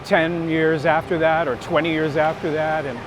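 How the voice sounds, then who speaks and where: A man's voice: level tone, normal speed. Middle-aged American man over city street noise. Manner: candid, self-deprecating, anecdotal. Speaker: street photographer and workshop teacher being interviewed. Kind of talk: casual reflective chat while walking. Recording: outdoor city rumble and traffic noise. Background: urban rumble, vehicles.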